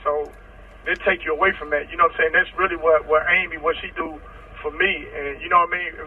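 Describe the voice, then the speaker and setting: A man's voice: fairly high pitch, fairly even intonation, fast talker, recorded from a distance. A man calling in from prison, talking casually and rambling, often saying "you know what I'm saying", over a phone line. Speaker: incarcerated guest on a phone call. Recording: tinny prison phone line with faint noise.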